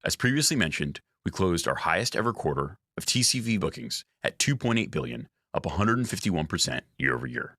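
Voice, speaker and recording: Male, very deep voice, dry close sound; formal corporate executive delivering scripted quarterly results. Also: big pitch swings, pushed and bright, no room sound. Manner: formal, measured reading of figures. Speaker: company executive presenting financial results. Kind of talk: scripted earnings call presentation.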